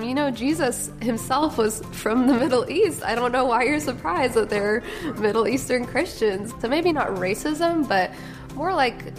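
Candid young woman, higher voice. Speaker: interviewee. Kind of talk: casual personal story.

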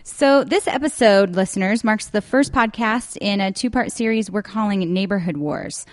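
A woman's voice: mid-range voice, moderate pace. A woman hosting a casual local podcast, talking chattily with frequent ums and uhs. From Denver. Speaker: podcast host. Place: downtown clock tower.

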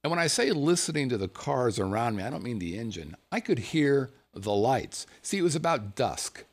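A middle-aged man from the United States spins a comic yarn about his own past, swearing freely and chuckling along the way. His pitch swoops up and down, giving the story an animated, lively feel. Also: normal speed. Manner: animated, profane, laughs through his story.

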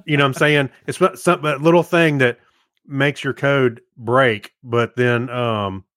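A man talks as the co-host of a casual podcast. His voice sits at an average pitch and he speaks conversationally. The recording is dry, with hardly any room sound. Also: fairly even intonation, measured pace, a bit bright, dry recording. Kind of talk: casual chat and reading out listener comments.

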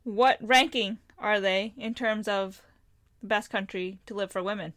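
A woman's voice: medium pitch, measured pace, a bit bright, no echo. A woman co-hosting a podcast, quizzing her partner in a teasing, dry way. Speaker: podcast co-host. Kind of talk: casual chat.

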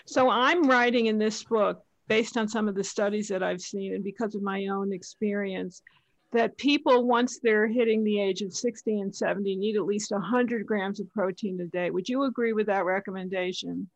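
A woman's voice: medium pitch, fairly even intonation, moderate pace, dry recording, low-quality recording. A woman hosting a nutrition podcast, introducing her guest and asking questions in an enthusiastic, effusive way. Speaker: podcast host. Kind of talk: podcast interview.

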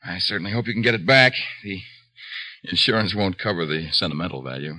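A glib, affable young gentleman in a 1950s radio mystery. His voice is very deep and forceful, and he talks in a breezy, polite manner. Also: expressive, a little fast, heavily acted. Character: smooth-talking, affable young fiancé hiding something. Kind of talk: 1950s radio drama acting.

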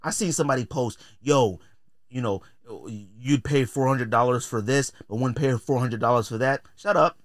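A man's voice: medium pitch, moderate pace, dry recording, clean studio sound. A middle-aged American man hosting a podcast, talking casually in blunt, slangy, opinionated style. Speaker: podcast host.

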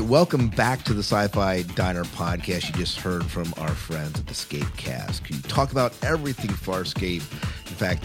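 A man hosting a podcast in a casual, chatty style. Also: deep voice. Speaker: podcast host. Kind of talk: casual chat.

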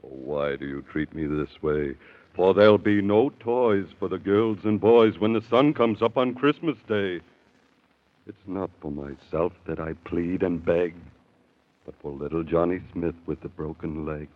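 An actor playing a kindly old captive who pleads earnestly in rhyme, in a 1930s radio play. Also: male voice, deep voice, unhurried. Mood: pleading, sorrowful. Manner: earnest, beseeching rhymed delivery. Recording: thin, narrow-band 1930s radio recording.

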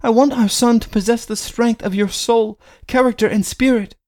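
A man's voice: extremely high pitch, fairly even intonation, moderate pace, darkish tone, pitched above the performer's own voice. A man voicing a devoted, pregnant young wife who speaks earnestly and pleads with her husband. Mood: pleading.

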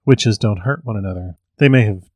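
A man reading a spiteful old male witch with a wrinkled face and a growling, smug way of talking. The voice sits low, and the sound is dry and close. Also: fairly quick, a little mellow, no echo, close to an everyday voice.

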